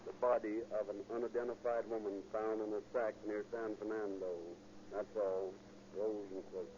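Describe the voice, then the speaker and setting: A man's voice: on the low side, flat delivery, moderate pace, close to an everyday voice. A man playing a terse, matter-of-fact police dispatcher in a 1930s radio drama, clipped and procedural, on an old radio recording. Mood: terse, official. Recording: muffled, faintly noisy 1930s radio recording.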